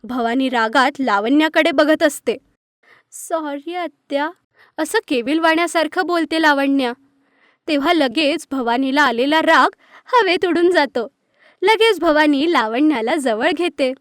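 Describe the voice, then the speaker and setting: A woman's voice: high voice, a little slow, a bit bright, no echo, studio quality. A woman narrating a scripted children's story.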